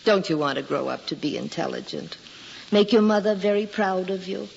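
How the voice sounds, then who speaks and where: An American actress playing an earnest, prim schoolteacher who gently admonishes a pupil in a 1940s radio drama. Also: female voice, on the low side, expressive, moderate pace, vocal fry. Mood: scolding. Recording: dull, reverberant, noisy old radio recording.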